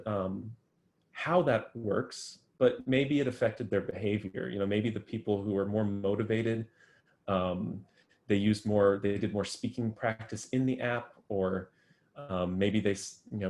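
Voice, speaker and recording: Guy w a lowish voice talking thru study results, lots of um and uh, thoughtful. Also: steady tone, a little slow, a little mellow. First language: English. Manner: thoughtful, many ums and restarts. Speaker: guest researcher. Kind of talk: academic interview answers.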